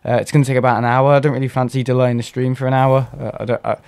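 Hoarse man streaming a game. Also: medium pitch, little pitch movement, racing, darkish tone. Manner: rambling, frequent throat clearing. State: hoarse with a cough. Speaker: gaming streamer. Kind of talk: casual live gameplay commentary.

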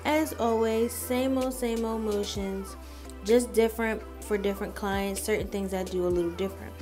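Woman, mid-pitched, chatty and informal makeup tutorial voice-over over music. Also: fairly even intonation, normal speed, darkish tone. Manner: chatty, informal, addressing viewers. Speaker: makeup artist narrating a tutorial.

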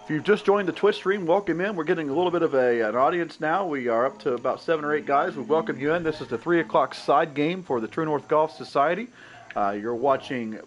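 A man's voice: fairly high pitch, a little fast. Congested man hosting a golf stream. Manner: upbeat, welcoming, talks through his own shots. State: nasal, congested from a cold. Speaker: stream host. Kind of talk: casual game commentary. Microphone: gaming headset.

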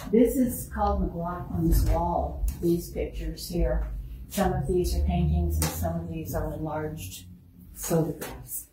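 A woman's voice: lowish voice, steady tone, slow pace. A woman curator giving a casual guided tour of a museum, chatting about each exhibit. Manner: chatty, lingers on exhibits, laughs easily. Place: indoor museum room. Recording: roomy, distant mic, faint noise.

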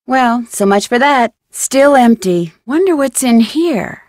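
A young woman voicing a plucky, curious young amateur detective in an adventure game, musing to herself with clear enunciation. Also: on the high side, animated, takes it slowly, darkish tone, dry recording, studio quality. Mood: puzzled, thoughtful. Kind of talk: scripted adventure game voice lines.

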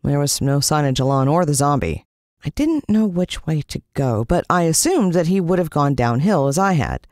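An American woman narrates a children's adventure story. Her delivery is animated, with a wide swing in pitch. The sound is dry and close. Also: normal speed, no room sound. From United States. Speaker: audiobook narrator.

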